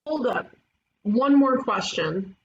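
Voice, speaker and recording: A woman playing a blunt, impulsive young detective in an animated comedy table read. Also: on the high side, slow pace, creaky, heavily acted. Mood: probing. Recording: dull, noisy home microphone.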